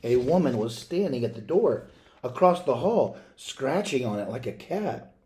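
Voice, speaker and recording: A man reading a storybook aloud in an expressive, deliberate storytelling manner. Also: medium pitch. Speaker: read-aloud reader.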